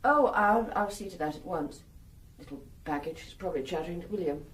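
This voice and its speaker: Casting: woman playing bustling servant, lowish voice, deferential, heard from a distance, period drama. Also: animated, fairly quick, a little hiss or hum, lightly acted. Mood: apologetic, fussing. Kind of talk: period radio drama acting. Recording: voice distant from microphone.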